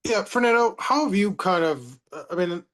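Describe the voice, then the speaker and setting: A man's voice: high-pitched, lively intonation, moderate pace, a bit bright. A man working as a sports reporter, asking informal interview questions at a press session.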